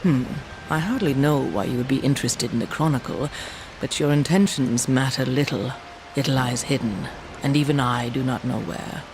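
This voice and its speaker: A man playing an aloof, bargaining quest giver in a video game, measured and formal with a gravelly edge. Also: mid-range voice, noisy background, lightly acted. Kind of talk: video game cutscene acting. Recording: music behind the voice.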